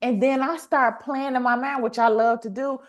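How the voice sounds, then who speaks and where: A woman hosting a podcast, chatting casually and motivationally in an animated way, repeating phrases for emphasis and acting out little quotes. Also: high-pitched, moderate pace. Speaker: podcast host.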